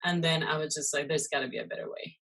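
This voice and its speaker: A woman's voice: sits low, steady tone, quick pace, a bit bright, husky, poor audio. A woman in her thirties from Costa Rica, chatting casually as an interview guest, with lots of fillers and "you know". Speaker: guest, muralist and illustrator.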